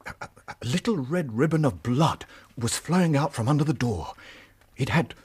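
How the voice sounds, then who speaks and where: A really raspy british police detective, middle-aged, serious and halting, swoops about, radio play. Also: male voice, medium pitch, expressive. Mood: sombre recounting. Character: earnest, shaken veteran male police inspector. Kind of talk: radio drama acting.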